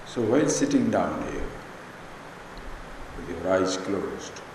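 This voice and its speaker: Slow, deep-voiced Indian man in an echoing hall. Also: big pitch swings, darkish tone. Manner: measured, deliberate, in short pausing phrases. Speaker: spiritual teacher leading guided meditation. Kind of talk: guided meditation talk. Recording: echoey hall, long reverb, background noise.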